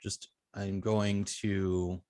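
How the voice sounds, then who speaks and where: A man teaching informally as an instructor, thinking aloud with halting, repeated and restarted phrases. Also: low-pitched, flat delivery, darkish tone, slight background noise. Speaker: web design instructor.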